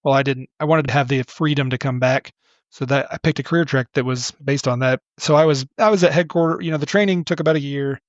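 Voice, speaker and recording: A middle-aged man, a podcast guest, talking casually in a relaxed, rambling way with many restarts. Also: mid-range voice, fast talker, no room sound, dull audio. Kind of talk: casual interview talk.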